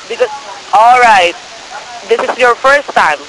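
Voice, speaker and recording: A man with non-native English phrasing giving a persistent, partly scripted telephone sales pitch, often checking that the listener follows. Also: a little slow, sharp tone. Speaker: cold-call share scammer posing as broker. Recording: noisy phone call with crackly hiss.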